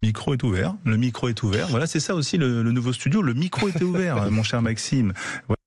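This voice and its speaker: A man's voice: mid-range voice, animated, a little mellow, husky. A man hosting a live radio sports show in a studio, chatty and joking with guests and callers. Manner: chatty, joking, quick asides. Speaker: radio host.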